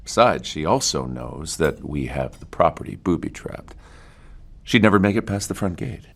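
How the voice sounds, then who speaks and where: A man playing a menacing, controlling middle-aged husband in an audio drama, with a gravelly, husky voice and a domineering manner. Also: extremely low pitch, expressive, moderate pace, full character voice. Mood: quietly threatening. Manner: domineering, swings from quiet menace to shouting. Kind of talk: audio fiction acting.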